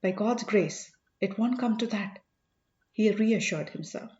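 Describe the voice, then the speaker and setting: A woman's voice: medium pitch, measured pace, a little mellow. A woman reading a novel aloud as scripted audiobook narration, telling the story and its characters' thoughts. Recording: roomy echo, dull lo-fi sound.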